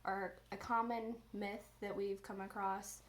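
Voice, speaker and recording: A woman in her twenties from the US Midwest, chatting casually on her vlog and filling her pauses with ums. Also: medium pitch, flat delivery. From Indiana, USA. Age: 20s. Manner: chatty, many ums and restarts. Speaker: vlogger. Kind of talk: casual chat.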